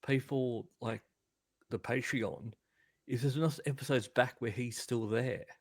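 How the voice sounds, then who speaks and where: A man's voice: medium pitch, measured pace. A man co-hosting a podcast in casual chat, talking in an enthusiastic, rambling way. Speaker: podcast co-host.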